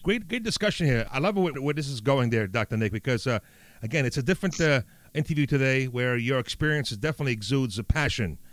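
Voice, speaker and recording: A man from Italy hosts an interview, eagerly praising his guest and filling gaps with "uh". His voice sits at an ordinary pitch, with dry, close audio. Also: expressive, quick pace, no echo. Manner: enthusiastic, praising, many fillers. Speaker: podcast host. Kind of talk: interview hosting.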